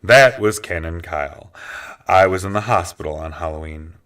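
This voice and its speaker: A man's voice: low voice, normal speed, fairly bright tone, distant mic. A man playing a cocky, wry vigilante detective with no powers in a comic superhero audio drama, talking casually and sardonically. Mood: wry, explaining.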